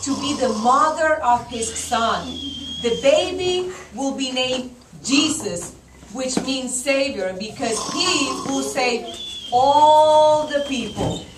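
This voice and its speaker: Woman as a high, echoey, proclaiming angel. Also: lively intonation. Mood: solemn, reassuring. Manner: slow, proclaiming, reassuring. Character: solemn angel bringing God's message. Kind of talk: amateur Christmas puppet show acting. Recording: echoey room from far off, noisy.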